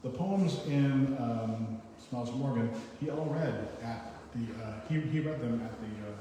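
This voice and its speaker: A man giving a poetry reading, with informal and somewhat halting spoken introductions. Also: medium pitch. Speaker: poetry reader at an open reading. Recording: very echoey hall, far mic, noisy.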